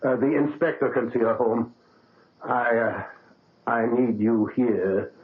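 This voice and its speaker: An actor playing an older male surgeon and father, grave and halting, in a 1970s radio drama. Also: medium pitch, natural voice. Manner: halting, hesitant, many uh's. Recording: tinny old radio broadcast with faint hiss.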